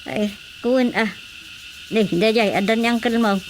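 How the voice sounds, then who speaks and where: A lively, familiar village kinswoman voiced by a woman in a family radio comedy. Her voice sits on the high side with an Ilocano accent, over faint background noise and crickets. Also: moderate pace, natural voice. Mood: chatty.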